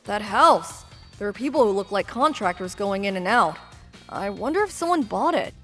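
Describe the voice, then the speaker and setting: Friendly young wife narrating a manga story, played by a woman: mid-pitched, animated, expressive, everyday. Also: normal speed, lightly acted. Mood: storytelling. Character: friendly, ordinary young wife telling her own story. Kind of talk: scripted manga dub narration. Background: background music.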